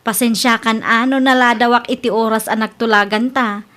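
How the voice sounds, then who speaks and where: Insistent, high-voiced lady moneylender played by an actress. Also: steady tone, normal speed, a bit bright, close to an everyday voice. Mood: businesslike. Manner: businesslike, insistent. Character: firm, cautious woman moneylender setting conditions. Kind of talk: amateur radio drama acting.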